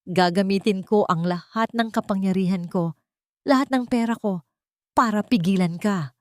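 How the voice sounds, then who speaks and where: A woman's voice: medium pitch, moderate pace, dry recording, clean studio sound, close to an everyday voice. A woman voicing a haughty, scornful older matriarch in a dramatic story, imperious and threatening. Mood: furious, threatening. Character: furious, haughty, menacing older matriarch mother-in-law.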